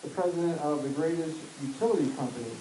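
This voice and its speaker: A man reading a text aloud in an even, steady way for another speaker. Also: a little high, quick pace, roomy sound, slight background noise, rough recording. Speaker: reader for the speaker.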